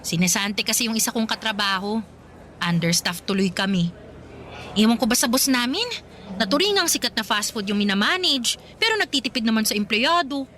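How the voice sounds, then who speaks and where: A woman's voice: a little high, animated, brisk, fairly bright tone. A woman playing a young working college student, a chatty, tired girlfriend, in a conversational radio drama style. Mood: casual complaining.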